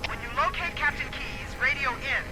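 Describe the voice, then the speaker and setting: A woman's voice: high voice, lively intonation, moderate pace, poor audio. A woman playing a cool-headed military dropship pilot in a video game, clipped and procedural. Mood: steady, dutiful. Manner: clipped, procedural radio delivery. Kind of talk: video game cutscene acting. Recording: loud aircraft engine noise behind.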